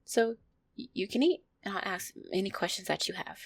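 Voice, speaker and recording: A woman playing a gentle, anxious, affectionate vampire girlfriend in an intimate roleplay audio, speaking softly, tenderly and hesitantly. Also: medium pitch, fairly quick, vocal fry, dry recording. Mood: caring, upbeat. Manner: soft, tender, hesitant, sighing delivery.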